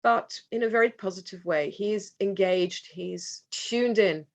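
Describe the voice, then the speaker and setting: A woman speaking as a parent at a conference, giving a reflective presentation with slides, pausing and restarting as she goes. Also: mid-range voice. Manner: earnest, reflective, with pauses and restarts. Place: conference hall.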